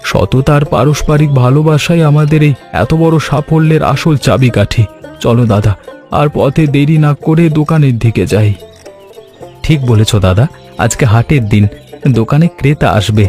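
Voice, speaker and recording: A man's voice: medium pitch, moderate pace, a little mellow, soft background music, close to an everyday voice. A man voicing an honest, grateful village shopkeeper in a cartoon story, speaking in an earnest, deferential way. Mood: cheerful, earnest. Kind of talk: Bengali animated story voice acting.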